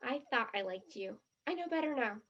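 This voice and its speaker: Woman playing a high-pitched, blunt teenage girl. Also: animated, fairly quick, lo-fi sound. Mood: indignant, accusing. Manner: blunt, indignant, skeptical. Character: blunt, skeptical, dramatic teenage girl from New Jersey. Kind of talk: stage play acting.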